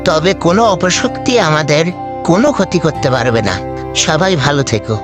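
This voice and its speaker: A man's voice: fairly high pitch, sing-song, moderate pace. A man voicing a kindly old grandfather telling a bedtime story in a cartoon, with music behind. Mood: gentle. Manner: gentle, storytelling. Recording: cartoon dub with loud music bed.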